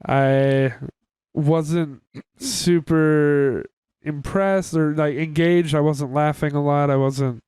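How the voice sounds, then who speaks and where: A young man talks casually as a podcast co-host, giving candid opinions in informal, sweary language. His voice sits a little high and is very clear and smooth. The sound is dry, with no room on it. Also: steady tone, slow, darkish tone, no echo. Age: young adult. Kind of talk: casual review chat.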